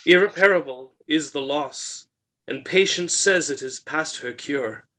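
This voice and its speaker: A man's voice: on the high side, unhurried, pushed and bright, low-quality recording. A man reading the part of a grieving, bewildered shipwrecked king in an unrehearsed Shakespeare play, with dramatic declamation.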